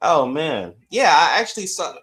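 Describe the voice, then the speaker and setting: A man's voice: on the high side, sing-song, normal speed, fairly bright tone. A man co-hosting a sports podcast, chatting casually in slangy, emphatic bursts.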